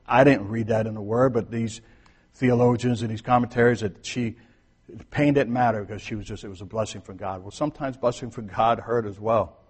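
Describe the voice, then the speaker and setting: A husky, fairly low-voiced man preaches to his church. His sermon is conversational, dropping to near-quiet asides and rising to emphatic points. A long reverb hangs in the sanctuary behind him. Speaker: pastor.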